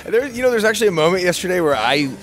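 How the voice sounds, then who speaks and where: A man's voice: high-pitched, lively intonation, very fast, fairly bright tone, faint music behind. A man who is a touring wrestler and a father, chatting in a tired, rambling way at an airport. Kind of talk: vlog-style chat.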